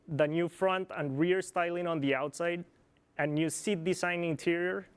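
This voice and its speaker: A man from Colombia with a Colombian Spanish accent, a product planner giving a measured, scripted corporate presentation. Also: on the high side, smooth. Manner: measured, informative, occasional slips.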